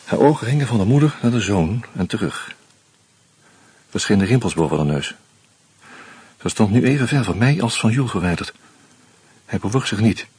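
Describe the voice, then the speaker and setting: An actor narrating in first person as a weary man on pills, in a husky voice with tense, terse sentences. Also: on the low side, lively intonation, moderate pace, long pauses, a little mellow, no room sound. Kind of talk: radio play narration.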